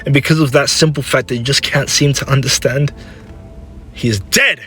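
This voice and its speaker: A man's voice: mid-range voice, fairly even intonation, moderate pace, a bit bright, slightly rough, soft background music, voice pushed up. A man voicing a proud, menacing young warrior son, heated, defiant and scornful, in a dramatic story.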